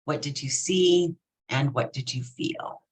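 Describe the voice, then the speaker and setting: A woman with a low voice co-hosts a session. She explains things to the participants in an informal spoken style. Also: takes it slowly, breathy edge. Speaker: session co-host.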